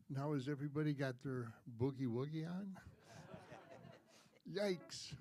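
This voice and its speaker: Slow, chatty male concert host. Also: medium pitch, no room sound. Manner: joking, chatty, relaxed. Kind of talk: casual stage announcements. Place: folk coffee house stage, live audience.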